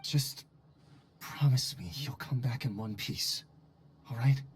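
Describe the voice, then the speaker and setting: An actor voicing an earnest, fretful colleague in a video game, speaking politely but emphatically. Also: male voice, mid-range voice, slow, husky. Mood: probing, worried. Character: earnest, fretful male colleague, concerned and exasperated.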